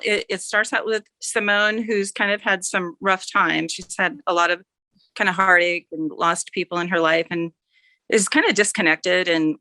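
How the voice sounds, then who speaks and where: Chatty woman author being interviewed, lowish bright voice, rambles and corrects herself, dry sound. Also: no room sound. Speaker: author guest. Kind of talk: casual interview chat.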